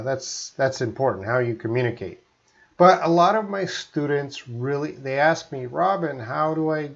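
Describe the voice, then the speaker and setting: A man's voice: mid-range voice, dull audio. A man in his forties from Canada with a faint Canadian accent, teaching English on a live stream and chatting with viewers as he reads out their comments. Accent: mild Canadian.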